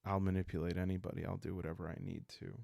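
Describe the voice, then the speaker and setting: A low-pitched man talks in a calm, unhurried way through a solo storytelling game. His voice has a gravelly fry to it, and the recording is dry and close, with no room around it. He improvises scenes and thinks out loud, in a style meant to help listeners doze off. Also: dry recording. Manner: soft-spoken, calm and unhurried, thinking aloud. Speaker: host playing a solo journaling roleplay game.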